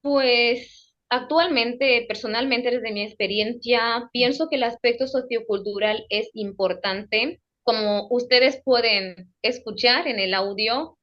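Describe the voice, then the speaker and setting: A young woman with an Equatoguinean Spanish accent speaks in a measured, academic way, pausing to repeat and correct her words. Her voice sits fairly high. The sound is dull and lo-fi, as over a remote call. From Equatorial Guinea. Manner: careful, self-correcting, repeats phrases. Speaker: guest, radio presenter.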